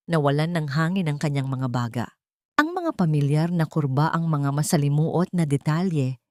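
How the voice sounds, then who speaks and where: Woman, lowish pitch, dramatic scripted storytelling, dry recording without room sound. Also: a little mellow, dry recording.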